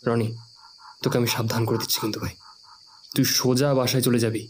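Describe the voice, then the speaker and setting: An anxious young male friend, played by a man in a horror cartoon, talking familiarly and with caution at a medium pitch. Faint insect and night noise sits behind him. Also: flat delivery, brisk, lightly acted. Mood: urgent warning. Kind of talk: Bengali horror cartoon dub. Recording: noisy night ambience with insects.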